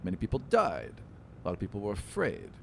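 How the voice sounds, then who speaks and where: A man's voice: on the low side, huge pitch swings, fast talker, vocal fry, slight background noise, full character voice. A man playing a fussy, watchful inquisitor in a tabletop game, speaking in a hesitant, officious way. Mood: officious, wary. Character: fussy bureaucratic male inquisitor, watchful, matter-of-fact.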